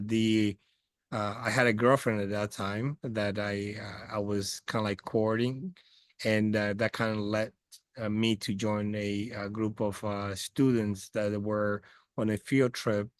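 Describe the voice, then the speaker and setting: A man's voice: lowish voice, level tone, unhurried, no room sound. A man in his forties from the Republic, speaking English, answering interview questions over a video call with many hesitant fillers and restarts. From Dominican Republic. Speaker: guest. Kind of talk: casual interview chat. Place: outdoors by a house among trees.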